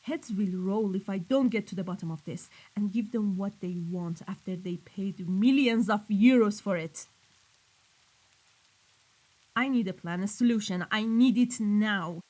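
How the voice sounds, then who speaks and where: A woman's voice: mid-range voice, moderate pace, hardly any pauses, darkish tone, lightly acted, poor audio. A woman playing a cold, commanding mafia boss in a scripted audio roleplay, speaking sternly and accusingly. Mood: menacing, demanding. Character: cold, menacing female mafia boss dealing in weapons.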